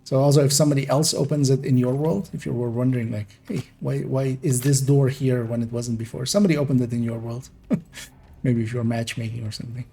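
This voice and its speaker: Gravelly man with a mixed Iranian-Dutch accent. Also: mid-range voice, fairly quick. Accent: Iranian mixed with American and Dutch English. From Iran. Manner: relaxed, rambling explanations with little laughs. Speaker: streamer. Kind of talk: casual gameplay commentary.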